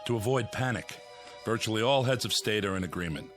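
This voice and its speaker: A man's voice: lowish voice, a little fast, over music, close to an everyday voice. A man playing a stern briefing general. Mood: grave. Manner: formal, authoritative.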